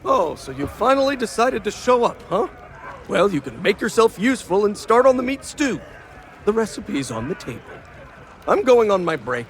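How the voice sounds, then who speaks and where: A man's voice: sits high, very animated, normal speed, slight background noise. A man playing a bossy, harried tavern cook in a video game, brusque and impatient. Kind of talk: video game character acting.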